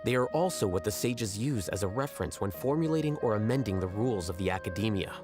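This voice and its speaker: An actor playing an aloof, coolly logical scholar in a video game, speaking in a measured, matter-of-fact and condescending way. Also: male voice, fairly low pitch, animated, lightly acted. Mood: explaining coolly. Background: game music.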